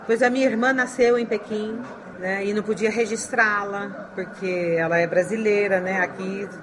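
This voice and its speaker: A middle-aged woman from Brazil, raised in China, answering interview questions in a halting, casual way with many restarts and tag questions. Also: mid-range voice, noisy background.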